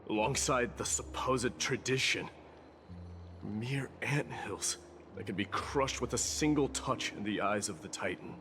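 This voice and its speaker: An actor playing a proud, solemn young warrior king and war demigod in a game, speaking formally and gravely. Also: lowish voice, a little slow, slight background noise. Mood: solemn disdain. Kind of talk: scripted game cutscene drama. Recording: game dialogue under loud music.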